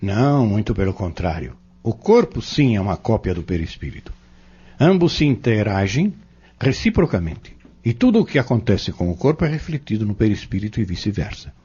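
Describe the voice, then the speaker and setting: A very husky, lowish Brazilian male voice from an actor plays a patient Spiritist instructor in an audio drama. He explains doctrine earnestly, with measured phrases and lively, emphatic swings of pitch. The sound is somewhat dull and lo-fi. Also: heavily acted. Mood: earnest, instructive. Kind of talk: didactic audio-novel dialogue.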